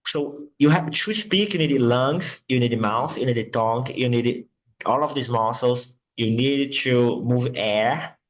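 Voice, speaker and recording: A male English teacher, Portuguese his first language, talks in an unhurried, drawn-out way. He patiently repeats words over and over to model their sounds. His voice sits at an ordinary middle pitch. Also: rough recording. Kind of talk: online English lesson.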